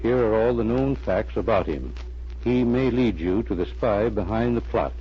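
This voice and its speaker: An actor playing a stern, authoritative intelligence chief giving formal briefings in an old-time radio drama, on a muffled recording. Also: male voice, fairly low pitch, animated, normal speed. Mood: grave, official.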